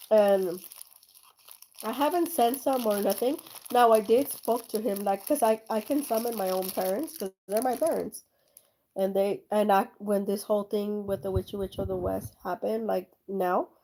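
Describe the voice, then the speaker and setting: A woman's voice: mid-range voice, warm tone, slight background noise, low-quality recording. A woman with a slight German accent, talking casually and rambling with many fillers and restarts as she paints on her own channel. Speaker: art channel host.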